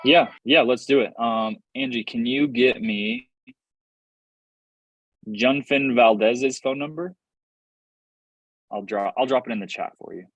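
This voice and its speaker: A man's voice: medium pitch, very animated, unhurried, vocal fry, long pauses, husky, no room sound, rough recording. A man hosting a live real estate workshop on a video call, upbeat and hyping up his audience. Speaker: real estate investing host.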